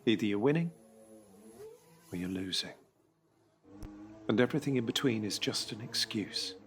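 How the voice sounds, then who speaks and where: A man with a husky voice narrates a dramatic script in a measured, intense, literary manner. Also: fairly low pitch, expressive, soft background music.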